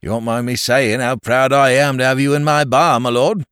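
A man's voice: mid-range voice, fairly even intonation, moderate pace, a bit bright, clear, resonant tone, no room sound, clean studio sound, voice pushed up, close to an everyday voice. A man voicing an eager, talkative Cockney pub landlord of about fifty-five, in a London Cockney accent. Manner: chatty, eager, dropped grammar.

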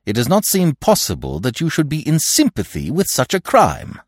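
A composed, earnest young man, as a male narrator plays him in a storybook reading. He stays steady while he presses his point, his voice moving lively up and down. The recording is dry and close. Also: a little high, moderate pace, breathy edge, no echo, pitched above the performer's own voice, exaggerated voice. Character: earnest young man, calm and self-possessed.

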